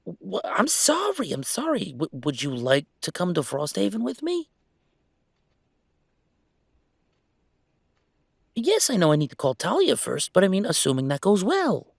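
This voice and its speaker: A man playing a young werewolf boyfriend in an intimate audio roleplay, talking in a halting, rambling, conversational way with a gravelly creak. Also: high voice, huge pitch swings, dry recording, clean studio sound, heavily acted. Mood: flustered, tender. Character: young male werewolf boyfriend, hesitant, affectionate, weighing a move.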